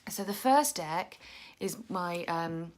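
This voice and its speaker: A young woman chatting casually to camera about her tarot decks, tired and croaky, rambling and poking fun at herself. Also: fairly low pitch, lively intonation, slow pace. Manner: chatty, self-deprecating, rambling. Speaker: tarot vlogger.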